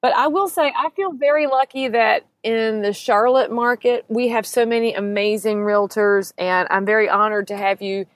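A woman's voice: a little high, steady tone, normal speed, few pauses, no echo. A woman hosting a podcast, speaking in an upbeat, encouraging way to her guest and listeners. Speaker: podcast host. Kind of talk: podcast interview and outro.

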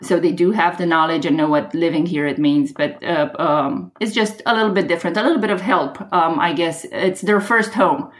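A woman with a Romanian accent, a realtor guest talking casually on a podcast, often pausing with ums and restarting. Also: on the low side. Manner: hesitant, many ums and restarts.

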